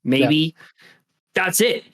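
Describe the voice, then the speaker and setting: A man's voice: fairly high pitch, expressive, drawn-out words, fairly bright tone. A man co-hosting a casual podcast, laid-back and loose, full of likes and you-knows. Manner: laid-back, filler-heavy, says "like" and "you know". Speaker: podcast co-host.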